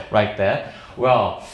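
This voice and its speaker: A man in his forties with a Korean accent, a native Korean speaker, giving an informal seminar talk as a surgeon in halting, jovial English. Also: mid-range voice. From South Korea. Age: 40s. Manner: halting, jovial non-fluent English with frequent chuckles. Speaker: plastic surgeon lecturing at a medical seminar. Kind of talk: informal seminar presentation to an audience. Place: indoor seminar room. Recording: echoey seminar room.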